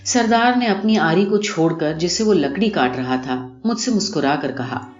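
A woman reading a short story aloud in an expressive, scripted narration style. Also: lowish voice. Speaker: audiobook narrator. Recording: muffled, roomy recording with music behind. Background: music.

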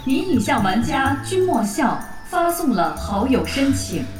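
Female game interface voice, high, formal, echoing and distant. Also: sing-song, unhurried. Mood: neutral, formal. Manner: formal, automated announcing. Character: polite female game system announcer. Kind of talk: game system notifications. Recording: echoing, distant, music behind.

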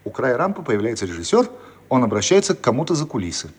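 A man's voice: mid-range voice, sing-song, fast talker, background noise. A man reading scripted stage directions as the narrator of a radio play. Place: studio radio production.

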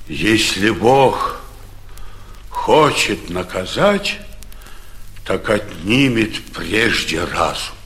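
Old crooked small town mayor, slow, sharp, beating himself up, old radio play. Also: male voice, fairly low pitch, heavily acted. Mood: stunned. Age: older. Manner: theatrical, exclamatory, self-berating. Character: blustering, outraged old provincial mayor, crooked and humiliated. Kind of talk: 1940s Russian stage acting. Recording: 1949 theatre radio production.

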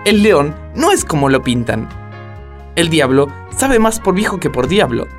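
A man's voice: a little high, big pitch swings, measured pace, soft background music. A man playing a pompous, fatherly, long-winded gang boss in a solemn parody radio drama. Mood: preachy, solemn.

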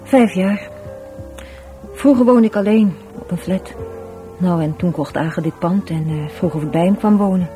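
A woman's voice: lowish voice, normal speed, mellow, faint music behind, natural voice. An actress playing a shy, guarded, educated woman in a radio drama, speaking hesitantly with a Dutch accent. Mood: reserved, guarded. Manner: hesitant, repeats words, evasive. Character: shy, guarded, educated sister of a dead man.

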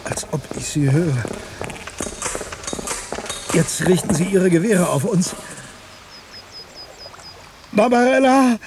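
An actor playing a frustrated, probing journalist in a German radio drama, questioning insistently with biting irony. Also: male voice, high-pitched, sing-song, slow, long pauses, background noise, full character voice. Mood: alarmed, haunted. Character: frustrated, probing male journalist, skeptical and bitterly sarcastic.

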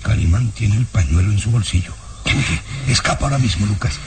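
An actor playing a scheming, domineering villainous baron in a radio drama, with imperious, conspiratorial delivery. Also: male voice, on the low side, animated, fairly quick, far from the mic. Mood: conspiratorial, menacing. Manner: imperious, conspiratorial, hissed orders. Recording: noisy old radio drama recording.

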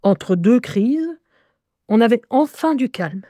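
A woman's voice: on the high side, animated, slow, dark tone, no echo, studio quality. An adult woman giving an intimate, first-person scripted narration in French, as if recounting memories.

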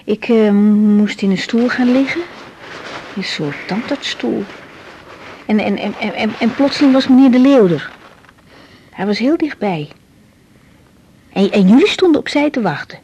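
An actress playing a troubled young woman doctor in a naturalistic 1980s radio drama, hesitant and halting. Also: mid-range voice, animated, a little mellow, highs cut off, a little hiss or hum. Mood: uneasy, confiding. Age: young adult. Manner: hesitant, halting, repeating words.

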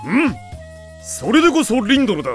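An actor playing a boisterous middle-aged king and father in an anime audio drama, with rough, slangy bravado. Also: very high-pitched, huge pitch swings, normal speed, exaggerated voice. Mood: jovial. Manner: gruff slangy downtown bravado. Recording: music behind the voice.

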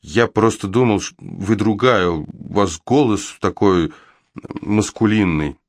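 A man's voice: lowish voice, slow. A man voicing an awkward, self-mocking male character in audiobook dialogue. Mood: sheepish.

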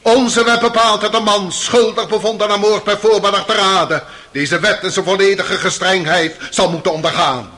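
A man's voice: high voice, fairly even intonation, moderate pace, hardly any pauses, bright, forceful tone. A man acting in a radio play as a stern, accusing prosecutor, addressing the jury in solemn, declamatory rhetoric. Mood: solemn, demanding. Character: stern, accusing male prosecutor in a Russian murder trial. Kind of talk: theatrical radio play courtroom oratory.

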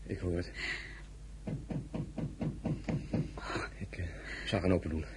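An actor playing a calm, wary, protective general and husband in an understated, measured radio drama style. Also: male voice, deep voice, lightly acted. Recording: noisy radio drama with clicks.

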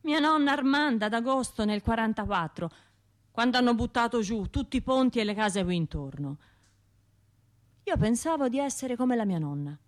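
A woman's voice: fairly high pitch, animated, close to an everyday voice. Wounded Tuscan woman, confessional as an actress plays her. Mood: wistful remembering. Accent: Tuscan Italian. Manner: halting, colloquial, shifting between murmurs and outbursts. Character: wounded, self-reproaching bombing survivor, woman, restless and confessional. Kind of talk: intimate radio drama monologue.

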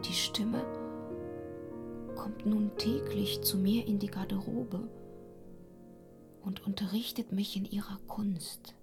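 A woman playing a young, impressionable opera singer, enraptured and fearful, in a breathy, intimate radio drama style. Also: mid-range voice, steady tone, slow pace, pauses often, music in the background. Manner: breathy, intimate, slipping into hushed whispers. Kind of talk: German radio drama acting.

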